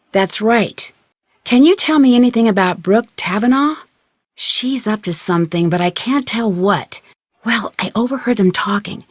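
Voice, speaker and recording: High-voiced, inquisitive young female detective. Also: no room sound, studio quality. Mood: suspicious, earnest. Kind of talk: video game dialogue acting.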